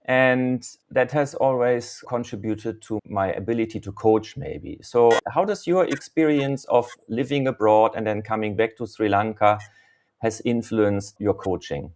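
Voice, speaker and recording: A man's voice: lowish voice, unhurried, darkish tone. A man with a German accent, a native German speaker, hosting an interview podcast. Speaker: podcast host, coach.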